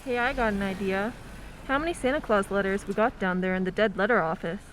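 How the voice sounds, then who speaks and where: A woman's voice: medium pitch, fast, lightly acted, poor audio. A woman playing an eager, scheming postal worker in an amateur radio play. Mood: eager, persuasive. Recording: faint background noise.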